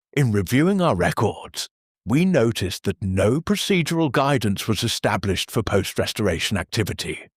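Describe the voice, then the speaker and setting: An actor playing a stiff, rule-bound male church minister who reads announcements in a formal, deadpan, bureaucratic way. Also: mid-range voice, husky, dry recording, studio quality. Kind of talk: satirical audio drama.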